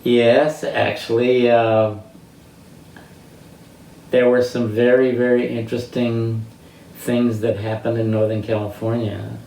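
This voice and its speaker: Slow elderly American man, roomy distant recording. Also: medium pitch, flat delivery, vocal fry, mellow. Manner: halting, reflective, searching for words. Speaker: interviewee sharing life stories. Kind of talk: reminiscing personal storytelling. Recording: roomy, distant mic with faint noise.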